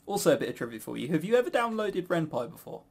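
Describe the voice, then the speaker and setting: Male quiz host, slightly high voice, casual banter with a playful, needling edge. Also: very animated, fast, creaky. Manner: teasing, jokey.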